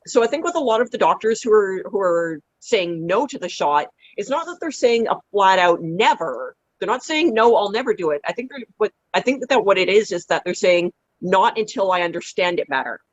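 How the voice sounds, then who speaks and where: A woman's voice: expressive, a little fast, hardly any pauses, no echo. A woman in a casual radio discussion, explaining carefully and rephrasing as she goes, on muffled audio. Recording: muffled, dry low-fidelity call audio.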